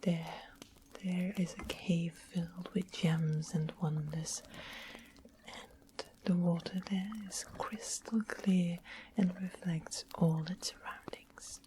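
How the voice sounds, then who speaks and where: A woman playing a sweet-seeming witch who makes potions, whispering gently as she explains things in a fantasy ASMR roleplay. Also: on the low side, steady tone, takes it slowly. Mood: gentle, hushed. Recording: close whisper with clear background hiss.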